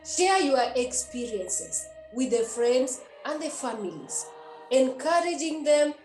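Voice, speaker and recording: Nun, high voice, earnest and repetitive preaching to youth; roomy echo, faint music underneath. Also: female voice, animated, slow pace, darkish tone. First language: a Kenyan language. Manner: earnest and repetitive, with many hesitations. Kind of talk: exhortation to young people.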